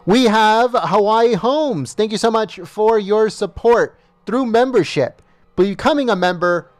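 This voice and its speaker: A man's voice: sits high, unhurried, no echo. A man hosting a livestream, reading out viewer names and thanking each in turn while losing his voice, with small stumbles over names. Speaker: livestream host.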